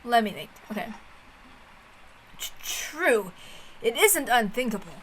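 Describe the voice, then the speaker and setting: A woman's voice: a little high, sing-song, takes it slowly, a bit bright, a little hiss or hum, low-quality recording. A woman chatting informally and reading a story aloud as a streamer, with chatty asides. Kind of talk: casual chat and dramatic read-aloud of a story.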